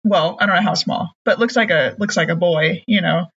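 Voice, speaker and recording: A woman's voice: on the low side, a little fast, a bit bright, husky, muffled recording. A woman chatting casually as a tabletop game player. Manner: chatty, laughs easily.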